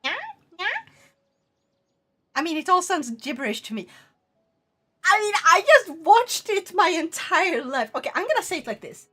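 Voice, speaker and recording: A woman's voice: very high-pitched, sing-song, moderate pace, pauses often, bright, forceful tone. A woman from Sweden with a Swedish accent, rambling animatedly in a casual livestream chat, swearing and laughing often. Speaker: streamer.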